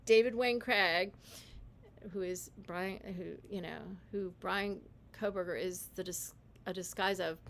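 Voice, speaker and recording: A woman talking alone on a livestream from her home, rambling with halting restarts. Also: mid-range voice, sharp tone. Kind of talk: casual livestream monologue.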